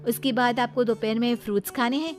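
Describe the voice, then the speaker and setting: A woman plays a young cartoon daughter-in-law with a clearly high voice. She is polite but firm, earnestly protesting and reasoning, over light plucked-string music. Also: steady tone, brisk, lightly acted. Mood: caring. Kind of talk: Hindi cartoon story acting.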